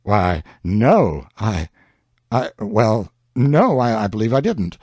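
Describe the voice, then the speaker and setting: A man voicing a kindly old Southern gentleman farmer with a Southern accent, hospitable and easily flustered. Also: lowish voice, very animated, takes it slowly, husky, no room sound, heavily acted.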